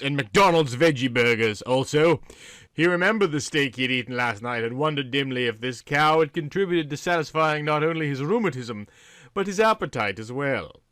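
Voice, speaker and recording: A man's voice: mid-range voice, a bit bright, no room sound, clean studio sound. A man narrating a story in a theatrical, comic reading style, with dramatic, emphatic phrasing.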